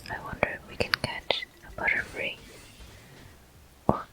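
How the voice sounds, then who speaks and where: A woman hosting a gameplay video in ASMR style, murmuring softly and gently as she comments. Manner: soft, gentle, unhurried murmuring. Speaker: gameplay host.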